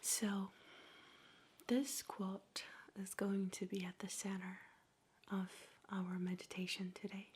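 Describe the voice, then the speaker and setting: A woman speaking in a soft, breathy whisper, slowly and gently guiding a meditation in an ASMR style, with measured pauses. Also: medium pitch, monotone, a little hiss or hum.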